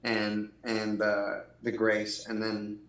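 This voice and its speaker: A man co-hosting a casual talk show, explaining things in an animated, conversational way. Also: lowish voice, slow pace. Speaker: podcast co-host. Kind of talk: casual chat. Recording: roomy, echoing sound.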